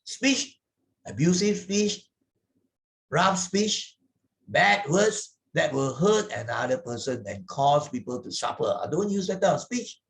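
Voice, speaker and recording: A man with a Malaysian accent giving an explanatory moral lesson as a teacher, deliberate and didactic, stressing and repeating key words. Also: a little high, animated, gravelly, low-quality recording. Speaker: Buddhist Sunday school teacher.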